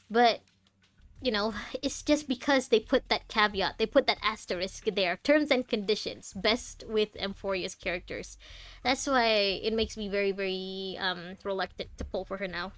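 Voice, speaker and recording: A woman's voice: medium pitch, a bit bright, no room sound. A woman streaming a game, chatting casually and expressively as she thinks aloud and answers viewers. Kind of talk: casual livestream chat, reading out chat messages and game text.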